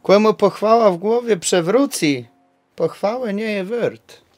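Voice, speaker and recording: A man acts as a naive young village groom in an amateur radio play. His voice is very high for a man, blunt, with a Kashubian accent. Faint music sits behind him. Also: a little slow, darkish tone. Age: young adult.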